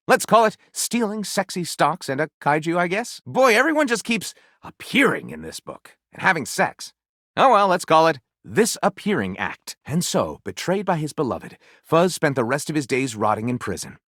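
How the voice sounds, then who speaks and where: A man playing an excitable, sardonic, self-pleased pulp author in a comedic video game, with a dramatic, wry delivery and flippant asides. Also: on the high side, no echo, clean studio sound. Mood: mock-dramatic. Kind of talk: theatrical comedic game dialogue, mock-literary narrating.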